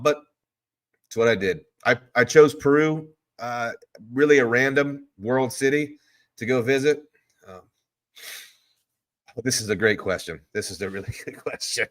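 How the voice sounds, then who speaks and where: A man hosting a live stream, chatting loosely and answering viewer questions in a rambling, easygoing way. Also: mid-range voice. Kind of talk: casual live stream chat. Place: hotel room.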